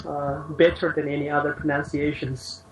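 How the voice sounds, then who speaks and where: A man calling in, speaking softly and agreeably. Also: fairly high pitch, level tone, normal speed, far from the mic, a little hiss or hum, low-quality recording. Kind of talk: caller in a chat.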